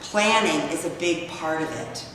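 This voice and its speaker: A hoarse woman presenting a business workshop in an open, inviting way, sometimes trailing off and restarting. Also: low voice, expressive, normal speed. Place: event hall in an arts building. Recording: echoey hall, mic far off, background noise.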